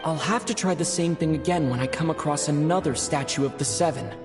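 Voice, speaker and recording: An actor playing a thoughtful, determined young adventurer in a video game, musing to himself in a measured way. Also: male voice, a little high, music in the background, close to an everyday voice. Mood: curious, musing.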